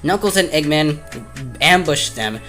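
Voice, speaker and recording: A man's voice: fairly high pitch, animated, measured pace, pushed and bright, soft background music. A man narrating a fan's video review from a script with casual asides, enthusiastic and stumbling over words now and then. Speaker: film reviewer.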